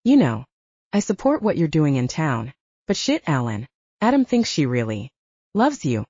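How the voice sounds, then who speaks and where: A woman's voice: low-pitched, sing-song, moderate pace, a little mellow, no room sound, dull audio, pitched below the performer's own voice. A woman voicing a commanding, exasperated older brother, an alpha werewolf, with a stern, patronizing manner in an audiobook. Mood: stern, weary.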